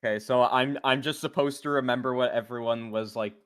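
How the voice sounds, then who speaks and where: A man's voice: mid-range voice, fairly even intonation, a little fast, a bit bright, clear, resonant tone. A man chatting casually while playing a game, hesitant, thinking aloud and trailing off. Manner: hesitant, trailing off mid-sentence. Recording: game music behind the voice.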